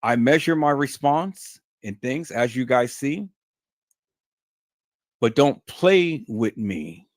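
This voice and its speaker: A man's voice: medium pitch, animated, slow, long pauses, no room sound. Stern lecturing man, dry sound. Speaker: livestream host. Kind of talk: unscripted livestream monologue.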